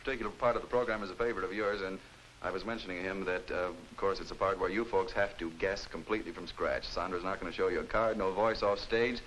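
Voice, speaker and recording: A man's voice: low-pitched, steady tone, quick pace, a bit bright, a little hiss or hum, low-quality recording. A man hosting a game show in an unscripted, chatty style, on a 1950s television broadcast. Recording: 1950s TV broadcast sound, studio audience.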